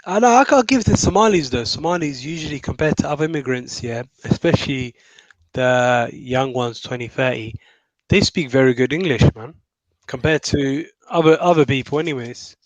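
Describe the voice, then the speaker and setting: A man's voice: medium pitch, normal speed, no echo, lo-fi sound. A man in a casual group chat, teasing and slangy.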